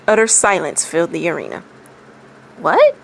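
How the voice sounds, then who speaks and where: A woman reading fan fiction aloud as expressive story narration. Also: lowish voice, normal speed, a little hiss or hum, low-quality recording.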